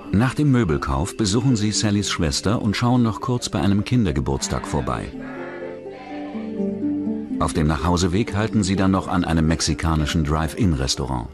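A man's voice: low voice, lively intonation, normal speed. A man delivering scripted narration for a true-crime documentary in the role of narrator. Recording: narration over music bed. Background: music.

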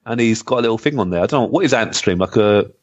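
A man's voice: fairly low pitch, animated, fast. A man chatting casually as a podcast co-host and reading out comments. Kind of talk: casual retro gaming podcast chat, reading out listener comments. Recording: dull, lo-fi voice recording.

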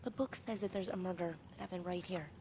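A woman's voice: lowish voice, fast talker, natural voice. Woman playing a curt, sarcastic young medium, noisy background. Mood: anxious. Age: young adult. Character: snappy, guarded young female medium. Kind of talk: video game cutscene acting.

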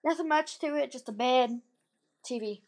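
High-voiced girl giving a bubbly house tour. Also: normal speed, slight background noise. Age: child. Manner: bubbly, rambling, playful exclamations. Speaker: vlogger. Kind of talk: house tour vlog, casual chat. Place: dim house at night, indoors. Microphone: handheld phone camera.

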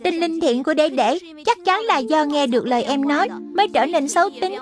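A woman's voice: sits high, animated, a little fast, faint music behind. A woman voicing a small, childlike lion spirit in a children's cartoon dub, explaining things earnestly and patiently like a teacher. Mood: earnest reproach. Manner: didactic, explanatory.

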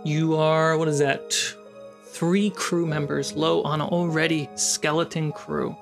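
A woman narrating a tabletop fantasy game as game master, telling the story with dramatic pauses. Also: sits low, fairly even intonation, creaky. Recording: dramatic music under the voice. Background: background score.

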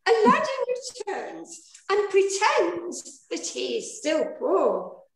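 A woman narrates a rhyming pantomime script at an unhurried pace. Her high voice rises and falls in a lively way, in an echoey room on a video call. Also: creaky. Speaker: director and fairy narrator. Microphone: computer mic on video call.

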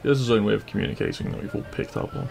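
A man playing a cheeky, kind-hearted bandit prince in an intimate audio roleplay, with a gravelly voice and a teasing, reassuring manner. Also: fairly low pitch, very fast, a little mellow, faint music behind.

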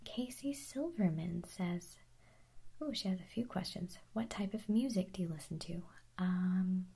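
A young woman whispering softly in a chatty, rambling way as she answers viewer questions for an ASMR video. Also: fairly low pitch, a little slow, creaky, husky. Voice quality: soft unvoiced whisper. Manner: chatty, rambling, self-correcting, giggly.

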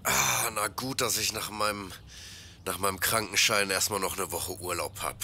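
A man playing an easygoing, work-shy guy who mumbles haltingly to himself in an audio play. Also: sits low, gravelly, pushed and bright, slight background noise, full character voice. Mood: wistful, relaxed. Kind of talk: German audio play monologue.

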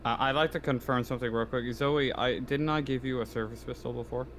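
A man's voice: mid-range voice, steady tone, a little fast, a bit bright. Man chatting in a noisy game session. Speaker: player in a tabletop roleplaying game. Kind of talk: casual online game chat. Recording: noisy home voice-chat recording.

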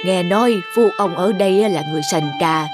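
A woman's voice: lowish voice, over music, lightly acted. Woman voicing a humble old tea master. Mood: humble polite. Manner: slow, courteous, old-fashioned speech. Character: poor humble old man tea connoisseur. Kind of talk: dubbed cartoon folktale acting.

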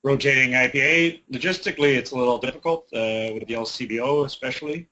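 A man with a bright, tense voice chats about brewing in an easygoing, rambling way. He is recorded in an office. The sound is dull, with a faint hiss behind it. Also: medium pitch, quick pace. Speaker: brewery guest. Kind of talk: casual interview chat.

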